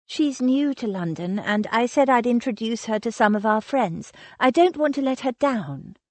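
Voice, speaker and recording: A woman voicing a shy but quietly defiant young woman in a storybook reading, speaking softly and earnestly. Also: on the high side, expressive, moderate pace, dry recording, voice pushed up, lightly acted.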